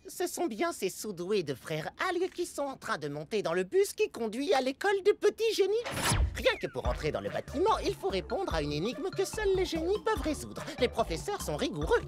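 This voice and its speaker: A woman voicing a brainy, earnest preteen microbe in a French cartoon dub, explaining things in a pedantic, excitable way. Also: high voice, brisk, music in the background. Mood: urgent explaining.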